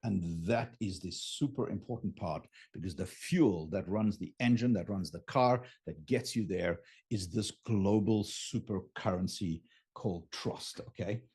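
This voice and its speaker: Husky low-voiced man coaching, native German speaker. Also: darkish tone. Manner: emphatic, informal, checks in with "right?" and "okay?". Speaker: business coach hosting a live session. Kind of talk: unscripted teaching talk with drawn illustrations.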